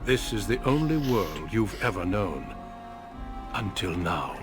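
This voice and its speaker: An actor narrating as a solemn storyteller in a scripted fantasy video game introduction. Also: male voice, low voice, animated, unhurried, over music.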